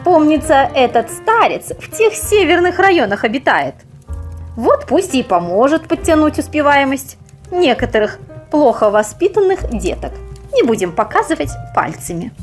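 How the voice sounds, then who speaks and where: Woman acting an indignant old grandmother, lively pitch swings. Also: moderate pace, soft background music. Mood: indignant, teasing. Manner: folksy, reproachful, emphatic. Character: indignant, old-fashioned scolding grandmother, proud of her grandchildren.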